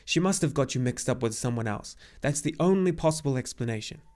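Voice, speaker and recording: A man's voice: medium pitch, expressive, a little fast, a little mellow, close to an everyday voice. A man voicing a very old, grave centaur in an audiobook story, with an emphatic, exclamatory manner. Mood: calmer, grave. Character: very old agitated centaur messenger, grave and weary.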